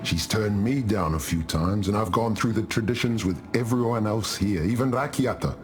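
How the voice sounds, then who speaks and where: Deep-voiced, hearty warrior chieftain played by a man. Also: moderate pace, a little hiss or hum, lightly acted. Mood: playful. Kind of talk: video game character dialogue. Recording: loud music behind the voice.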